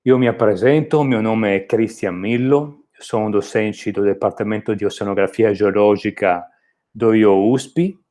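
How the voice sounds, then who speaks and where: A man's voice: fairly low pitch, steady tone, measured pace, mellow. A man from Italy with an Italian accent, speaking Portuguese as a non-native speaker while giving a university lecture, explaining steadily with occasional hesitations and restarts. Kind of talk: recorded online lecture.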